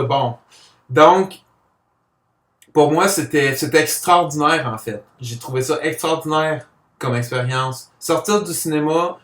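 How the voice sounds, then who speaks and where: A man's voice: on the high side, a bit bright. Male, Quebec accent, mild on-and-off stutter, personal testimonial, distant roomy recording. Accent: Quebec French. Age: adult. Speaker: person who stutters giving a testimonial, comic book author. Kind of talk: personal spoken testimonial to camera. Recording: roomy echo, distant microphone.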